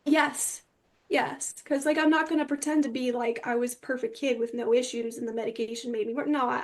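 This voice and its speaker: A woman speaking as an interview guest, giving candid personal testimony in an earnest, rambling way that often seeks agreement. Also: fairly high pitch. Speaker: interview guest recounting personal experience with psychiatric medication.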